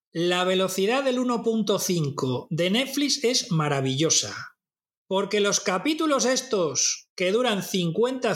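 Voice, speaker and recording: A man from co-hosting a podcast, rambling and animated in casual chat, swearing now and then. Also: sits high. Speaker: podcast co-host. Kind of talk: casual chat among friends.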